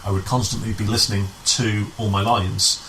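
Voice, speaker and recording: Bright-voiced man chatting as a guest. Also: on the low side, little pitch movement, normal speed, a little hiss or hum. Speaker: amateur pantomime director, interview guest. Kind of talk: casual conversational storytelling.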